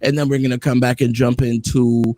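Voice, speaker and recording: Man, middle-aged, mellow tone, dry close microphone, casual interviewer, unhurried halting delivery. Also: medium pitch, flat delivery, no room sound. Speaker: host, interviewer. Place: video call. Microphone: close desktop microphone.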